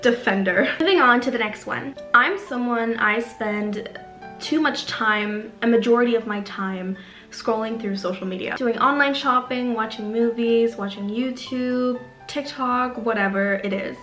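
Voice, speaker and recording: A woman's voice: a little high, measured pace, a bit bright. Chatty young woman, German native. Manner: chatty, fillers like "like". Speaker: YouTuber. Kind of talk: casual vlog talk to camera. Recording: roomy sound with faint music.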